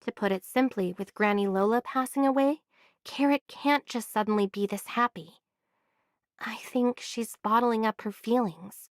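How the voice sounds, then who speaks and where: A thoughtful young heroine played by a woman with a fairly high voice, caring and soft-hearted. It is anime game acting with a dry, studio-close sound. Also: normal speed, no echo, close to an everyday voice. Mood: concerned, tender.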